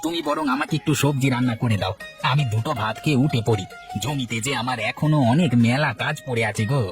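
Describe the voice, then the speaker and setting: A man's voice: mid-range voice, quick pace, natural voice. Man voicing a coaxing village husband, music behind. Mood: hungry, pleading. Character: hardworking, affectionate village husband, hungry and eager to please. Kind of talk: cartoon story dialogue.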